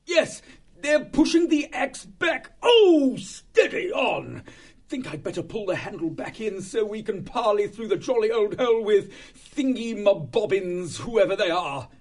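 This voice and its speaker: Hearty old hare chap, put on by a male narrator, drawling and slow, storybook. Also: high voice, very animated, pitched above the performer's own voice, full character voice. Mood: jolly. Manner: chummy, old-fashioned chatter. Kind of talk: audiobook character voice.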